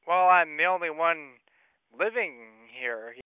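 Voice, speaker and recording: A man pranking a caller as an ancient mummy pharaoh, talking in a hesitant, stammering, deadpan way over a tinny phone line. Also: on the high side, slow pace, clean tone, dry recording.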